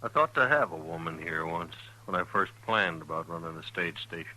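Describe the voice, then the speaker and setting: An actor playing a lonely, resigned American stage station keeper in a radio western, terse and subdued. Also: male voice, deep voice, brisk, sharp tone, poor audio. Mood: wistful. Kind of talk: old-time radio western acting. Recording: vintage radio recording.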